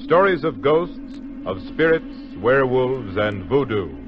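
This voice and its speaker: Animated male announcer on old radio. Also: mid-range voice, a little slow. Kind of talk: dramatic 1950s radio promo announcing. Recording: dull old radio recording.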